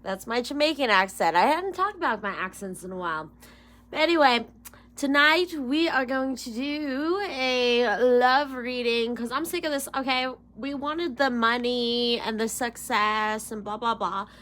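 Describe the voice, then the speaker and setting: A woman's voice: on the high side, unhurried, a bit bright. A woman in her thirties chatting casually to her viewers as a tarot reader, rambling, swearing freely and breaking into giggles. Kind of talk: casual rambling vlog chat.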